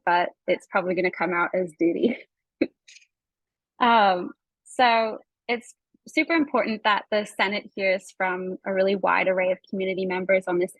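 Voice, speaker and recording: Woman, Canadian accent, husky voice, dry close sound, friendly informal workshop host. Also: mid-range voice, fairly bright tone, no echo, rough recording. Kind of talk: presenting an online workshop to participants. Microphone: video call.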